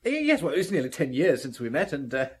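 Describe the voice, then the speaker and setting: A man's voice: medium pitch, very animated, quick pace, breathy edge. An actor playing a jovial, nervously over-friendly businessman with a stammering, effusive manner in a radio drama. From Belgium. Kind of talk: vintage radio drama acting. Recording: noisy old radio broadcast.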